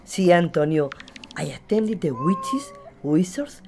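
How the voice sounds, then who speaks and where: A woman's voice: fairly low pitch, big pitch swings, slow, background noise, full character voice. A woman playing an exasperated, lovelorn village woman in a comic radio play. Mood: matter-of-fact.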